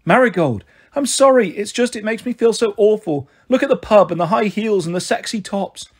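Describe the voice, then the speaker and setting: A woman reader puts on a tearful British mum in her thirties for a storybook. She is self-pitying and flighty, pleading in a raised, husky voice. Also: medium pitch, lively intonation, a little fast, darkish tone, pitched above the performer's own voice. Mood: distressed, apologetic. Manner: gloomy, emotional, pleading.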